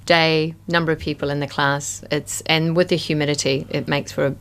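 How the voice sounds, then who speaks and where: A woman's voice: low voice, flat delivery, normal speed. A woman speaking casually as a guest in a radio studio interview. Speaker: studio guest, yoga studio owner. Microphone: studio microphone.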